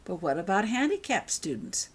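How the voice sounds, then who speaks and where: A woman's voice: medium pitch, big pitch swings, moderate pace, breathy edge. A woman voicing a kindly, practical, reassuring mother in a storybook reading. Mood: curious.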